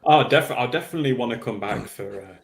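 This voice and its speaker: A man's voice: medium pitch, fast, vocal fry. A man talking casually as a panellist on a hobby livestream. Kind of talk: casual chat.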